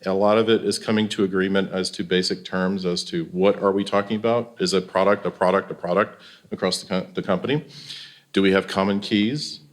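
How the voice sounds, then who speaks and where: An American man with a fairly low voice speaks as a panelist. He explains things in a conversational way, as a consultant would to an audience. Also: flat delivery, brisk. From Louisiana, US.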